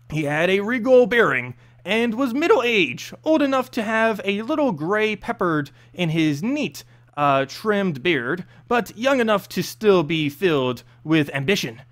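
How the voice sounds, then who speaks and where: A man narrating a fantasy story aloud in an expressive, dramatic, slightly halting reading. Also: sits high.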